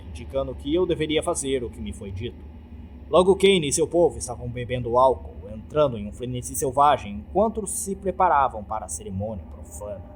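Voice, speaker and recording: Brazilian guy reading a creepypasta, dramatic, voice moves up and down a lot, slight noise in the background. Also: medium pitch. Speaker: narrator. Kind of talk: dramatic scripted horror narration.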